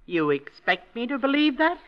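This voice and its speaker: A domineering older aunt, cold and suspicious, with clipped, commanding lines and a lively voice. The old radio drama recording sounds dull and lo-fi. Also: female voice, a little high, normal speed. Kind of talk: 1940s radio acting.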